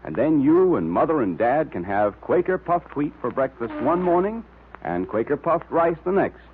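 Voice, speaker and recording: A man's voice: a little high, sing-song, moderate pace, a little hiss or hum. A male radio announcer in 1940s style, delivering scripted adverts and story narration with emphatic salesmanship. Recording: tinny vintage radio recording.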